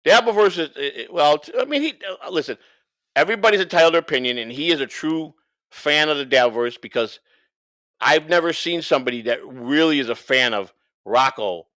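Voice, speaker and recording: Higher-voiced man ranting, profane, dry close sound. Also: lively intonation, fairly quick, pushed and bright, dry recording. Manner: profane, rambling, repeats himself for emphasis. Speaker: livestream co-host. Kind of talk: unscripted livestream rant and banter.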